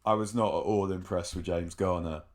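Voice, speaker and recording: A man co-hosting a podcast who chats casually about football in an animated way, with chuckles and quick asides. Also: low voice. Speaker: podcast co-host.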